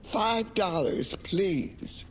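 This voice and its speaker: A woman playing a prim, polite but firm librarian in an audio drama, measured and courteous. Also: mid-range voice, lively intonation. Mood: polite firmness. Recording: echoey hall, faint room noise.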